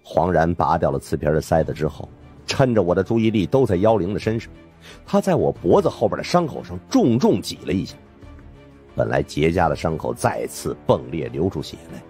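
Casting: male suspense audiobook narrator, deep pitch, dramatic lively intonation, soft music bed. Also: fairly quick. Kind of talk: dramatic scripted narration of supernatural suspense fiction.

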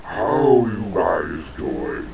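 A man voices a fatherly masked figure for a comic dub, gruff but fond. He drawls extremely slowly in a low, creaky voice, folksy and paternal, with heavy noise behind him. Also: sing-song, exaggerated voice. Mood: fond, gentle.

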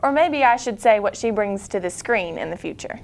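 A teenage girl anchoring a school newscast, reading scripted items brightly and making puns in a studio. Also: medium pitch, lively intonation, normal speed, vocal fry. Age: teens. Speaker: student news anchor. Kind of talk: read newscast.

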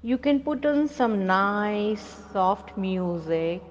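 A middle-aged woman with an Indian accent guides a meditation at an unhurried, slow pace. Her soothing, instructive voice trails into a long reverb. The recording is somewhat dull and lo-fi. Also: mid-range voice, animated. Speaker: meditation guide and spiritual healer. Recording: long reverb, dull lo-fi sound, faint noise.

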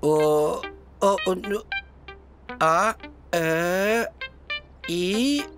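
Slow-talking chubby cartoon boy. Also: lowish voice, music in the background. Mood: puzzled, hesitant. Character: slow, chubby, good-natured young boy dog, doubtful sidekick. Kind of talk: animated cartoon voice acting.

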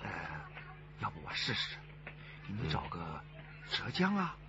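An actor playing a slippery, flattering and excitable crony in a radio drama. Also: male voice, fairly low pitch, unhurried, highs cut off, background noise. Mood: ingratiating.

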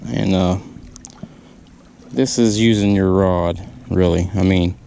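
A man giving casual fishing tips from a lakeshore, talking in halting bursts with lots of "uh" fillers, on a body-mounted camera. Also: low-pitched, steady tone, vocal fry, mellow, slight background noise. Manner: halting, many "uh" fillers, laid-back. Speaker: fishing vlogger. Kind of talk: casual how-to narration while fishing. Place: outdoors on a lakeshore. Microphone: body-mounted action camera.

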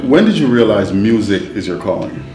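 A man's voice: fairly low pitch, sing-song, brisk, a crowd in the background. A man from the Bronx hosting an interview show, with upbeat patter and easy laughs. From the Bronx, New York. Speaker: show host. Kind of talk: casual interview chat. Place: hotel room, indoors. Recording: roomy hotel room echo.

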